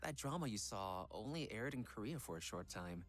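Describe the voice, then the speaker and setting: A man's voice: on the low side, lively intonation, normal speed. Earnest young male idol, music behind. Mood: curious. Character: gentle young male Korean pop idol, devoted fiancé. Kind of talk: visual novel voice acting.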